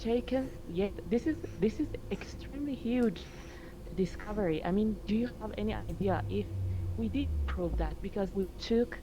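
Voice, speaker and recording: A woman from Iraq, a native Arabic speaker, explains her ideas earnestly in slightly halting English. Her voice sits at a middle pitch. Clear background noise runs under her speech. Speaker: student, participant.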